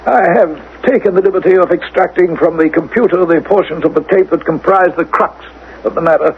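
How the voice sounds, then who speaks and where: A man playing a grave, commanding ruler of a future world in radio drama, speaking formally and with measured authority. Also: high-pitched. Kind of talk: 1980s radio drama acting. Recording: narrow-band old radio recording, faint noise.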